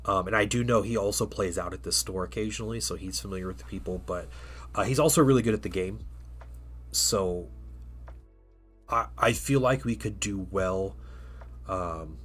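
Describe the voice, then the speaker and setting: A man's voice: lowish voice, a bit bright. A man getting over a cold, chatting casually on a live stream while painting miniatures, rambling with many fillers and restarts.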